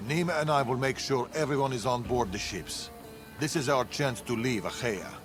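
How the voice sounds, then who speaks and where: Guy doing stern old warrior dad, protective, mid pitch, game cutscene w music. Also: normal speed, close to an everyday voice. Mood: resolute. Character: stern, protective older male warrior father. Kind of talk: video game cutscene acting. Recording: music behind.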